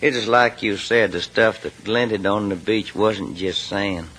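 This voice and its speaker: Casting: sly, threatening radio-drama boss, low-pitched, condescending, old radio sound. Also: male voice, fairly even intonation, measured pace, fairly bright tone. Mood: brooding. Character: menacing, greedy crime boss and former boat owner. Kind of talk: 1940s-50s radio drama acting. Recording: vintage radio recording.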